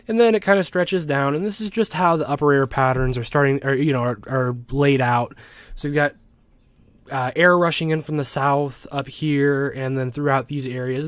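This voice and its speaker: An American man hosts an informal weather forecast in a mid-range voice. He explains as he goes, haltingly, sometimes repeating a word before carrying on. The sound is close and dry, with very little room around it. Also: medium pitch, clear, resonant tone, no echo. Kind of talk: informal weather map commentary.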